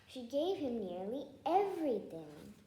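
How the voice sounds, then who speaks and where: A woman reading a children's storybook aloud with expressive, deliberate phrasing. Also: a little high. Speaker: storybook reader. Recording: echoey room, faint background noise.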